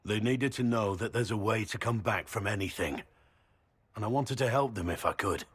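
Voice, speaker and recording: An actor playing a rueful, loyal, plain-spoken warrior in a video game, with a husky low voice. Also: male voice, normal speed, fairly bright tone. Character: gruff remorseful warrior man, loyal and teasing.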